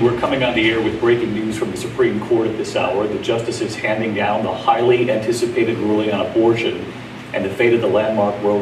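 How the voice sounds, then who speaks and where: A man reads breaking news as a TV anchor in a fairly low voice. It sounds played back from far away in an echoey space, with clear noise behind it. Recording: echoey playback in noisy venue, far off.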